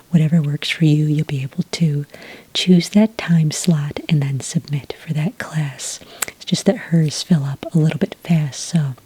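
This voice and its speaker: A breathy, whispering witch played by a woman works the enrollment desk in an ASMR roleplay. Her voice is low and very soft-edged, with a dark, mellow tone. She is patient, gentle and helpful, never rushing her questions. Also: heavily acted, poor audio. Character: friendly, patient adult witch working as academy enrollment clerk.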